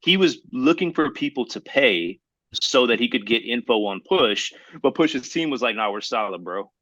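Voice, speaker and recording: A man co-hosting a podcast in casual, slangy, opinionated chat, talking in a halting, rambling way and circling back to qualify his points. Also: medium pitch, animated, a bit bright, no echo. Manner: halting, rambling, hedging delivery; slangy, addresses listener as bro. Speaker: podcast co-host.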